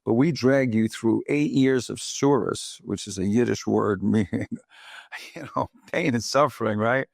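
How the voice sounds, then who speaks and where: A man's voice: mid-range voice, unhurried, creaky, no room sound, studio quality. A man from the Bronx, hosting an interview podcast in a chatty way, often asking "right?" to draw agreement. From Bronx, New York. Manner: chatty, asks leading questions with frequent "right?". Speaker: podcast host. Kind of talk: conversational interview.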